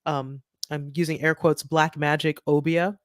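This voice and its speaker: Woman, middle-aged, animated, dry recording. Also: sits low, unhurried, no echo. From Trinidad. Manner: animated, many restarts and "right?" tags. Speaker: author guest. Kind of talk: conversational interview.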